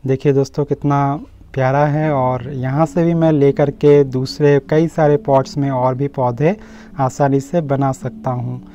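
A man's voice: mid-range voice, level tone, a little slow, mellow. A man gives a casual, explanatory garden tour, addressing viewers in a friendly, direct way. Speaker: host of a home gardening channel. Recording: slightly muffled audio with music underneath. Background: instrumental music.

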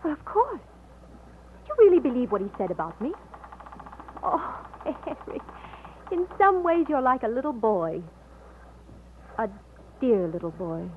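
An actress playing a tender but bold young bride-to-be in an old radio romance, with a gentle, theatrical delivery. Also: female voice, fairly high pitch, big pitch swings, a little slow, pauses often. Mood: affectionate. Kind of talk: 1940s radio acting. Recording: tinny, noisy old radio recording.

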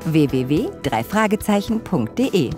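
A woman's voice: lowish voice, sing-song, normal speed, music in the background. A woman reading scripted promotional narration as the presenter of a feature.

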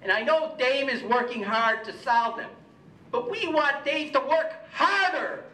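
Sixties American man, slow emphatic rally speaker, roomy sound. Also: pushed and bright. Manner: emphatic, gesturing. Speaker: citizen speaking at rally-style public comment. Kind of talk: rallying speech. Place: indoor council meeting room. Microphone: gooseneck podium microphone. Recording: echoey room, distant mic, crowd, rumble.

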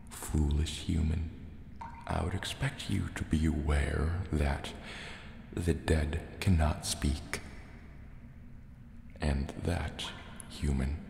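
Man acting huge distrustful sea dragon, soft-spoken ASMR menace, extremely low gravelly voice, deliberate delivery, water ambience. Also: full character voice. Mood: cold menace. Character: large menacing male sea serpent dragon, distrustful, threatening. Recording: echoey cave reverb, faint noise. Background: water and cave ambience.

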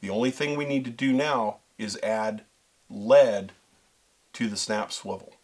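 A man's voice: lowish voice, measured pace, darkish tone, slight background noise. Instructive man walking through fishing setup. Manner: step-by-step instructive, addresses viewers as folks and guys. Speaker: fishing pro staffer and co-host. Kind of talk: how-to demonstration. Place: small studio set with backdrop.

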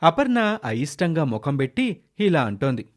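Male narrator, scripted moral storytelling, fairly high pitch, very lively intonation. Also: moderate pace.

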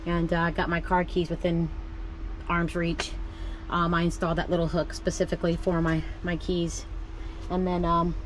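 A middle-aged woman vlogging casually to camera from inside her camper van at night, relaxed and upbeat, with plenty of fillers. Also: on the low side, a little hiss or hum. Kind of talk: casual vlog talk to camera. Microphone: camera close up in the van.

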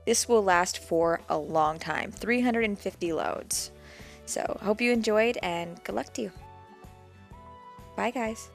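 Young woman, instructional voice-over, practical and steady, faint music behind. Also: medium pitch, slow, gravelly. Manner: plain, practical, walks through each step. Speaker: DIY homesteading vlogger.

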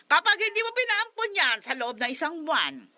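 A woman's voice: extremely high pitch, big pitch swings, brisk, noisy background. A woman playing a scolding middle-aged mother in a Filipino radio drama, emphatic and repeating herself. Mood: angry scolding. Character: nagging, scolding middle-aged mother who resents her children's cats.

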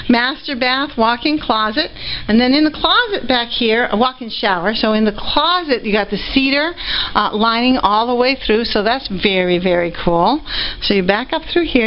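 A woman's voice: on the high side, slight background noise. A woman giving an upbeat, chatty walking tour of a house, with lots of fillers, recorded on a handheld camera mic as she moves indoors and out. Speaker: real estate auction previewer. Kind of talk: walking property tour commentary. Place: indoors and outdoors around a house. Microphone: handheld camera mic while walking. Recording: dull lo-fi camera audio.

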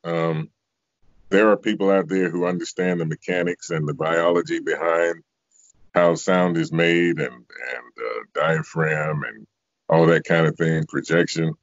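A man's voice: low-pitched, steady tone, a little slow, dry recording. A man in his fifties, a singer and voice actor, answering interview questions in a reflective, conversational way. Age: 50s. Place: indoor room, video call. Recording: dull, lo-fi video call audio.

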